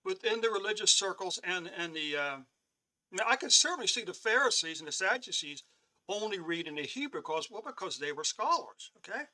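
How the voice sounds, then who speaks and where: A man teaching a live-streamed Bible lesson, talking haltingly with many restarts and clearing his throat, often checking in with "okay?". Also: high voice, lively intonation, pushed and bright, poor audio. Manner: reads from on-screen notes and explains them.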